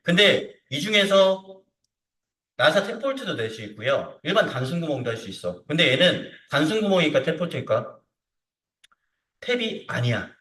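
A man teaching a hands-on software lesson step by step, informally, often checking with short tag questions that the learner is following. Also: a little high, very animated, moderate pace. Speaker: CAD instructor. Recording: roomy echo, distant microphone.

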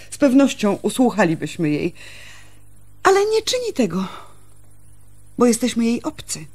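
An actress plays a young village woman, frank and devoted to her family. She speaks at a medium pitch, explaining in a confiding, measured manner suited to a radio drama. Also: darkish tone, close to an everyday voice.

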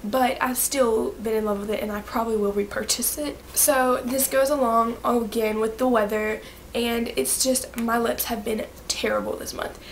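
A young woman chats brightly to a close camera in her bedroom, her nose stuffy from a coming cold. Her voice sits a little high, and she gushes with quick, excited asides. A faint hiss runs under the recording. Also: low-quality recording. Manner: bubbly, gushing, quick asides. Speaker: beauty vlogger. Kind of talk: casual monthly favorites vlog. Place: bedroom, indoors.

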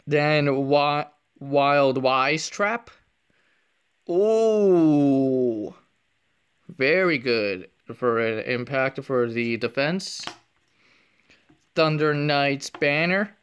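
A man quietly narrating a trading card pack opening, half whispering as he reads card names and comments on them, recorded indoors at a table on a phone camera. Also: on the high side, smooth. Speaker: card unboxing youtuber.

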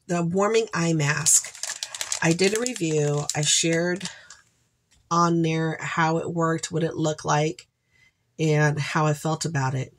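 A woman's voice: low-pitched, steady tone, slow pace, slight background noise, poor audio. A woman in her forties, congested, chatting casually to camera as host of her shopping haul vlog from a room at home. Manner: chatty, rambling, upbeat asides. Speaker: haul vlogger, channel host. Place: home room with shelves, indoors.

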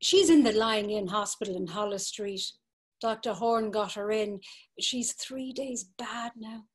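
A woman's voice: medium pitch, a little slow, slightly rough. A woman playing a gossipy, fretful housewife. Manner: gossipy and worried chatter. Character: chatty, fretful Dublin housewife. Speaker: actress. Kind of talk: dramatic reading over video call. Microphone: webcam on a video call.

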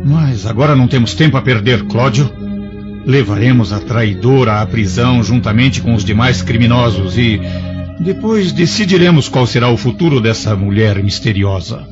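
An actor playing a cold, decisive Roman commander in a radio drama, stern and formal. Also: male voice, mid-range voice, normal speed, natural voice. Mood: cold resolve. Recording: dull audio under loud music.

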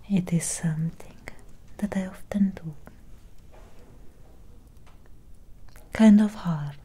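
Woman acting as a polite but slightly menacing victorian vampire lady doctor, breathy asmr whisper, really dark soft tone. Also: deep voice, heavily acted. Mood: calm, faintly menacing. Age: young adult. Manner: slow, deliberate, formal. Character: courteous, faintly menacing female vampire doctor of Victorian era. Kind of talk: ASMR roleplay. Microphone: close sensitive microphone.

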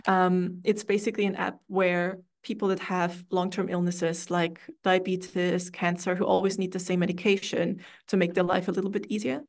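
A young woman from Austria, an English speaker, telling her story in a relaxed, chatty way as an interview guest over a video call from a room at home. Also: lowish voice, normal speed, hardly any pauses. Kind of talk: casual interview chat.

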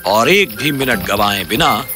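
A man's voice: mid-range voice, animated, over music. A man narrating a scripted children's fairy tale as storyteller.

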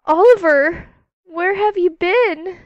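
A woman's voice: very high voice, extremely slow, no room sound, muffled recording, voice pushed up, heavily acted. A woman voicing a worried, caring mother in a roleplay story, fretful and affectionate. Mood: worried, relieved.

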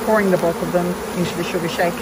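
Low-voiced woman, buzzing bees behind. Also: steady tone, very fast. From Australia. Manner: unscripted, practical, explaining step by step. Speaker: beekeeper giving a demonstration. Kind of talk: casual hands-on tutorial narration. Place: outdoors in a garden among beehives. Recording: clear background noise of buzzing insects. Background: bees buzzing, outdoor ambience.

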